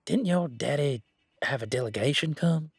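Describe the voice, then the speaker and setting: A man playing an easygoing, teasing country-boy werewolf bodyguard in a roleplay audio drama, talking in a folksy, informal way with drawn-out hesitations. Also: a little high, expressive, vocal fry, slightly rough, no room sound, full character voice. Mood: conversational. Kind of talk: roleplay audio drama, one-sided conversation.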